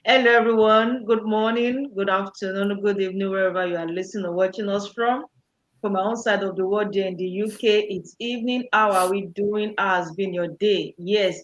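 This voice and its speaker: A woman's voice: medium pitch, dull audio. A woman from Nigeria, a nurse, co-hosting a live online webinar in a cheerful, motivational way. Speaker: co-host, nurse.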